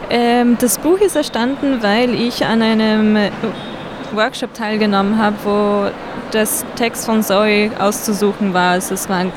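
Soft-spoken woman, street noise. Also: medium pitch. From El Salvador. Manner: soft-spoken, hesitant with fillers. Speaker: book illustrator guest. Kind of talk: radio interview answers.

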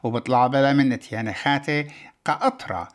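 Brisk male radio narrator. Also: medium pitch. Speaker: radio presenter. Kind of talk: scripted narration in a radio feature.

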